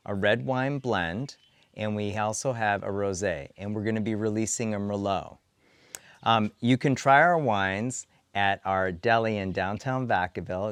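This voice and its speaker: Soft-spoken man, lower voice, chatty. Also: clean tone. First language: Italian. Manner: relaxed and conversational, with frequent ums. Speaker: winery owner. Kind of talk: casual chat to camera.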